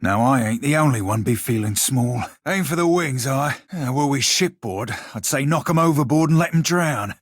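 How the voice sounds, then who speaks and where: Man acting roguish pirate sailor, rough husky voice, irreverent banter, archaic nautical talk, video game character, very dry studio sound. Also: medium pitch, lively intonation, normal speed, hardly any pauses, dry recording, close to an everyday voice. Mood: rousing, bold. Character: roguish blue fantasy pirate sailor, male, salty and irreverent. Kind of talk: video game voice lines, banter and fight barks.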